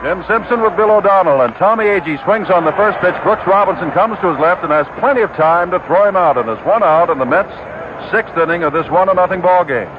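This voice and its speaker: A man calling a baseball game live on radio, brisk and matter-of-fact. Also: high voice. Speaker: play-by-play announcer. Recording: tinny, hissy 1969 radio broadcast.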